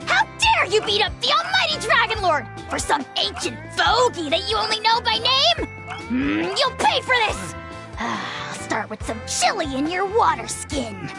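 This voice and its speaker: A woman voicing a small, haughty dragon lord in a video game, with a shrill childlike voice and pompous, blustering delivery. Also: very animated, measured pace, gravelly, music in the background, exaggerated voice. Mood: contemptuous. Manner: pompous, theatrical, indignant.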